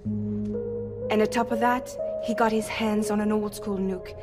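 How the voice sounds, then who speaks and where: A woman's voice: mid-range voice, fairly even intonation, a little slow, natural voice. A woman playing an embittered, weary courier boss in a video game, confessing frankly to betrayal and loss. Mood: bitter, regretful. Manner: frank, bitter, weary, swearing. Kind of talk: cinematic game dialogue, confessional monologue. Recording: loud music under the voice.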